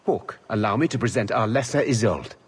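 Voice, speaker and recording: A man's voice: lowish voice, expressive, fairly quick, husky. A man voicing a courteous, genial nobleman in a video game cutscene. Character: courteous, genial nobleman, mildly flustered gentleman.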